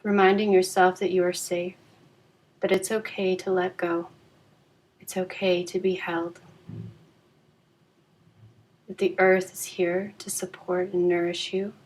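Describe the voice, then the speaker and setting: A woman guides a deep relaxation practice in a fairly low voice. Her delivery is very even, with little rise or fall. She speaks calmly and soothingly, leaving unhurried pauses between phrases. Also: rough recording. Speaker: yoga nidra guide.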